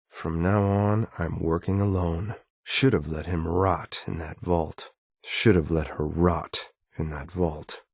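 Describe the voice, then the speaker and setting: An actor playing a stern, loyal synthetic agent in a video game, speaking in a clipped, formal, deadpan military way. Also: male voice, deep voice, dry recording, studio quality. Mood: bitter contempt. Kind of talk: scripted game character lines.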